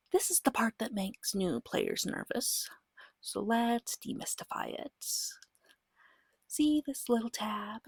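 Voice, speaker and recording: Soft breathy woman whispering ASMR guidance. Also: mid-range voice, dry recording. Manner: gentle, patient, reassuring, short measured phrases. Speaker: guide teaching a beginner tabletop game. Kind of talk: soft-spoken ASMR, slow guided explanation.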